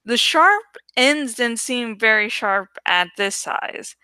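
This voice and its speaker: A woman reading a young adult novel aloud in an expressive, deliberate, dramatic way. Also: fairly high pitch, clean tone, no room sound. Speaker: audiobook reader.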